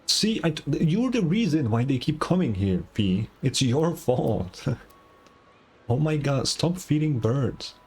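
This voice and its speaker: A man's voice: on the high side, very animated, slow, breathy edge. A man giving casual, reactive game playthrough commentary, with some swearing. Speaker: gaming streamer. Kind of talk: game playthrough commentary and read-aloud dialogue.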